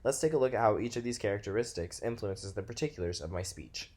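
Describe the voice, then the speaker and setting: Low-voiced young Texan man. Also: expressive, quick pace, darkish tone. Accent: Texas American. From Texas, United States. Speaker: student presenter. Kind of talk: scripted presentation narration.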